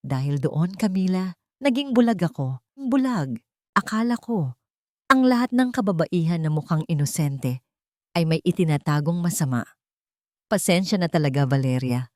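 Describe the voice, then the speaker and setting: A woman voicing an earnest, gentle young wealthy man, remorseful and in love, in an audiobook drama. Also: on the low side, expressive, moderate pace, long pauses, dry recording, clean studio sound, lightly acted.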